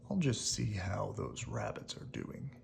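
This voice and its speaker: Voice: low-pitched, huge pitch swings, a little slow, vocal fry, voice pushed down, exaggerated voice. A storyteller voicing an old male wolf from a picture book, homesick, whiny and prone to tantrums, often half-whispered. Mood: wistful. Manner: pleading and plaintive.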